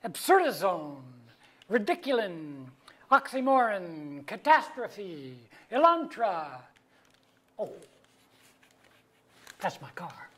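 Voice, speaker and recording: A man playing a wry, rambling middle-aged suburban husband in a staged play reading, with a husky voice and mock-grand delivery. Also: high voice, very animated, takes it slowly, pauses often, fairly bright tone, heavily acted. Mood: wry musing.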